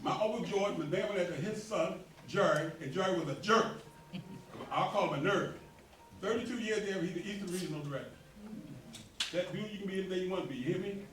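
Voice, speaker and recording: A man presiding over a neighborhood meeting, talking emphatically and working up to near shouts as he urges the room. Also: sits high, a little fast, slight background noise. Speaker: association president hosting a meeting. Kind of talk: animated speech to a community meeting. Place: community meeting hall. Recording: roomy hall, mic from a distance, faint ambience.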